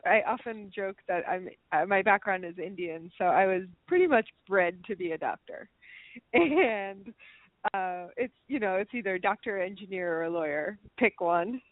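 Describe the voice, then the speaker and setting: A woman's voice: medium pitch, normal speed, no room sound. Woman guest on a dry phone line. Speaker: naturopathic doctor guest. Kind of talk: radio interview.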